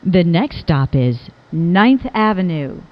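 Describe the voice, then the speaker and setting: A woman with a slightly low voice delivers formal recorded subway announcements. Her pace is very slow and measured, each word clearly enunciated, with lively rises and falls in pitch. The recording sounds dull and lo-fi. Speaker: automated subway train announcer. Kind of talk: scripted transit announcement.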